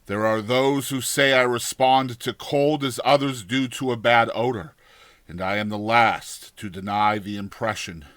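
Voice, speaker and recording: A man narrating a horror story as a dramatized audiobook, in a deliberate, theatrical manner. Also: mid-range voice, steady tone, fairly bright tone, dry recording, studio quality.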